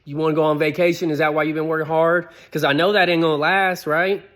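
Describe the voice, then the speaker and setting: A man's voice: a little high, level tone, fast, fairly bright tone, close to an everyday voice. A man voicing a skeptical boss who questions an employee's sudden hard work, in a doubting tone. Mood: suspicious. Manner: doubting, quizzing. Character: skeptical male boss, suspicious of effort.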